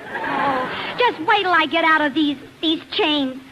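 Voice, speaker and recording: An actress playing a feisty, headstrong showgirl star, defiant and sharp-tongued, in 1940s radio acting style. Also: high voice, lively intonation, measured pace, noisy background. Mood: annoyed. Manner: defiant, snappy. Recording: 1940s radio broadcast recording.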